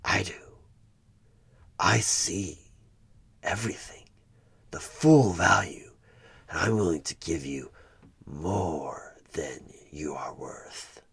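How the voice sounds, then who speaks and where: A man playing a sinister evil tempter in a horror ASMR roleplay, coaxing and menacing in a slow, raspy half-whisper as a job interviewer. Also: sits low, fairly bright tone, exaggerated voice. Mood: coaxing, insistent. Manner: slow, deliberate, persuasive, repeating words for emphasis.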